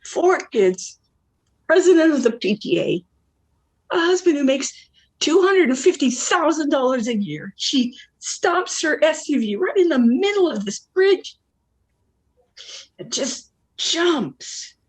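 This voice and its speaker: Woman acting a slow-talking, weary, worldly wife. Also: high-pitched, sing-song, full character voice. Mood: bitter, reflective. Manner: deliberate, wistful, blunt. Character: weary, worldly wife and mother escaping to fish. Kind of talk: dramatic monologue in a stage play.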